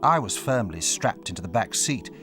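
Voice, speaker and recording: An actor playing a grown man who recalls his childhood in measured, grave audiobook narration. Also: on the low side, lively intonation, over music, lightly acted. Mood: solemn.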